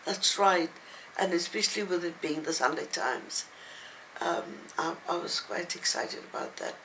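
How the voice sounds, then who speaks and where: An older woman speaking as an interviewed writer, reflective and halting, with thoughtful pauses. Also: fairly low pitch, a bit bright, a little hiss or hum, low-quality recording. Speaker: novelist and playwright being interviewed. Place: at home, indoors.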